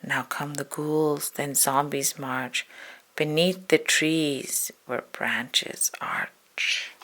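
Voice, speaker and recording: Very slow, creaky woman reading spookily. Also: low-pitched, fairly even intonation. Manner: slow, hushed spooky delivery with stretched words and whispers. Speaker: storybook reader. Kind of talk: dramatic read-aloud of a spooky children's poem.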